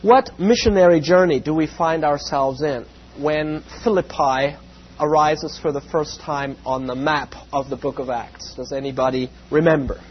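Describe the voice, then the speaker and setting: Slightly raspy man teaching Bible class. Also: fairly high pitch. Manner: measured, frequent "uh" fillers, reverent in prayer. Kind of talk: evening Bible lecture with prayer. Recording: dull lo-fi with faint hum.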